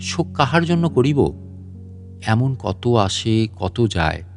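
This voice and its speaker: A man narrating a literary story in a measured, expressive way, with deliberate pauses between phrases. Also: mid-range voice, vocal fry, faint music behind. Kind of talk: dramatic literary story narration in first person.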